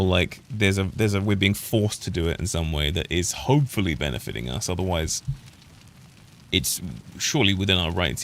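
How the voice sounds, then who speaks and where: A man's voice: lowish voice, fairly bright tone, slight background noise. A British man streaming a game, rambling opinionated commentary that keeps trailing off and restarting. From United Kingdom. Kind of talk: casual gameplay commentary.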